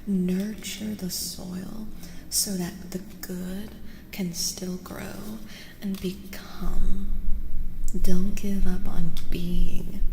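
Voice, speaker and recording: Slow, soft-spoken woman slipping into whispers. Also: on the low side, roomy sound, long reverb tail, noisy background. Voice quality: soft, breathy, often dropping to a whisper. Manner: gentle, slow, soothing. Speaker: streamer hosting ASMR tarot readings. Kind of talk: soft-spoken ASMR live stream chat.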